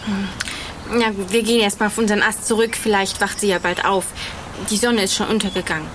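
A woman's voice: mid-range voice, steady tone, fairly quick, background noise, natural voice. A woman voicing a kind, earnest young female forest animal in an audio story, worried and chatty.

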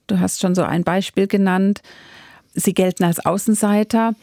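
A woman who interviews her guest with clear, composed questions. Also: medium pitch, fairly even intonation, normal speed, dark tone, dry recording, clean studio sound.

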